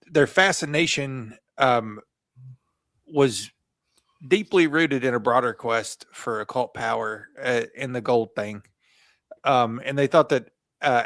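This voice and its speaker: A man co-hosting a podcast who reads through his research notes and keeps dropping in offhand jokes. His voice sits at an ordinary pitch, and it is recorded close with a dry, roomless sound. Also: a bit bright, no room sound. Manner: jokey, reads notes aloud with asides. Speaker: podcast co-host. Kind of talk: casual chat reading from notes.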